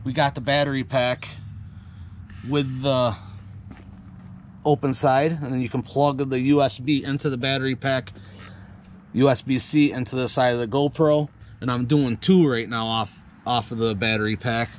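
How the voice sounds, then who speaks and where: A man's voice: mid-range voice, slight background noise. A man giving a casual, rambling channel update outdoors, often correcting himself mid-sentence. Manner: rambling, self-correcting, chatty. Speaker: YouTube vlogger. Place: outdoors near a woodpile. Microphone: action camera.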